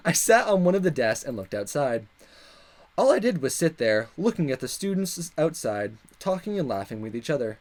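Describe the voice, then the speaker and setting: A man's voice: on the high side, big pitch swings, moderate pace. A man hosting a visual novel let's play, recapping and narrating, with music under his voice.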